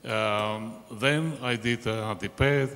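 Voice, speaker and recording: A man's voice: on the low side, slow, fairly bright tone, a little hiss or hum. An older man with a Greek accent and Greek as his first language, introducing himself with frequent hesitations. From Greece. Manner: many hesitations, uh before phrases. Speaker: panelist. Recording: echoey hall.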